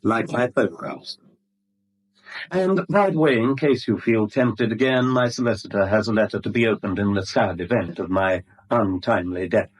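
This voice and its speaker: An actor playing an oily, polite blackmailer in a British radio drama, falsely apologetic and genteel, with a British accent. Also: male voice, on the low side, normal speed, lightly acted, low-quality recording. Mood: amused menace. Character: smooth, mock-regretful male blackmailer, oily and polite. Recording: vintage 1970s radio drama recording.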